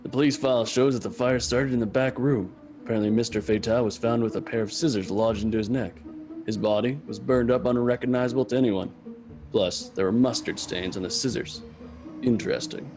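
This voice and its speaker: A man playing a deadpan, gullible detective with a clipped manner in a comedy noir radio play. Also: medium pitch, a little fast, close to an everyday voice. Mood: deadpan, businesslike. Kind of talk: radio drama comedy acting. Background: music.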